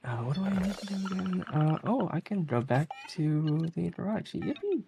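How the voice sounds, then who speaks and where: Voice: medium pitch, big pitch swings, moderate pace, gravelly, background noise. A speedrunner giving casual, giggly, easygoing live commentary while playing a video game.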